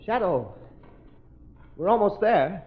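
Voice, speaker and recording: A dull 1930s radio recording of a high male voice. It is an earnest young fiancé, brave but anxious, speaking with agitated, impulsive melodrama. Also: big pitch swings, slow pace, husky, heavily acted. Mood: anxious, determined. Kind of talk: 1930s radio drama acting.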